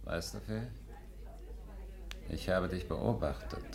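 An actor playing a boastful, pushy small-time crook in a 1950s German radio play, speaking with cocky insistence. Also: male voice, low-pitched, slow, gravelly, crowd noise, exaggerated voice. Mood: smooth, flattering.

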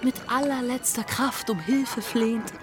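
A woman's voice: fairly high pitch, unhurried, lightly acted. An actress playing a cunning, commanding pirate woman in a German radio drama, urgent and theatrical. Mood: scheming, confident. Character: cunning young female pirate plotting a ruse. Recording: studio radio play with music behind.

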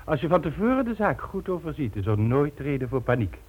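An actor playing a cool, calculating crime boss in a radio drama, measured and smugly explanatory. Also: male voice, fairly high pitch, sing-song, breathy edge. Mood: calculating, assured. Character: cool, calculating male crime boss, methodical and self-assured. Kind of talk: Dutch radio drama acting. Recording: dull old radio recording.

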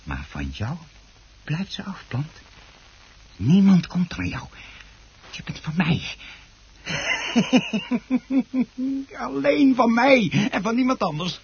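Very husky voice, obsessive plant grower, slow and swooping, muttering to outbursts, radio drama. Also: male voice, high voice, very animated, full character voice. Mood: bitter, possessive. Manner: muttering, repetitive, swinging from hushed to outbursts. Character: obsessive, unhinged male plant grower doting on monstrous plant. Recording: dull, muffled old radio play recording.

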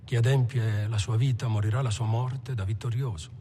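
An actor playing a fatalistic, philosophizing bank robber in a rhetorical film monologue. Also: male voice, medium pitch, fairly even intonation, moderate pace, dark tone, slight background noise, lightly acted. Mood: solemn. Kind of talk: dramatic monologue. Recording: music behind the voice.

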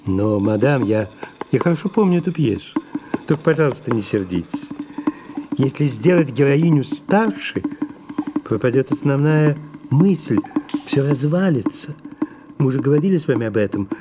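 A man's voice: mid-range voice, animated, normal speed, over music, lightly acted. A man playing a devoted, fussy and deferential secretary to an ageing diva in an audio play, with a hesitant, exasperated manner. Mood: anxious, pleading. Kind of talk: audio play acting with read stage directions. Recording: clearly noisy archive radio recording.

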